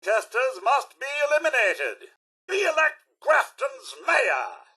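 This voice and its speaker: A man's voice: extremely high pitch, huge pitch swings, takes it slowly, pushed and bright, breathy edge, heavily acted. A male actor voicing a polite, fussy robot butler in a video game, with a British accent and a formal, theatrical delivery. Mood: stern, menacing. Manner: formal, overly courteous, theatrical.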